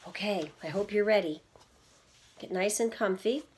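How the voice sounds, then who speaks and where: A middle-aged woman reading aloud from a children's book like a teacher, addressing young listeners in a deliberate, explanatory way. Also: mid-range voice, a little hiss or hum. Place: indoors at home, seated in an armchair in a small room.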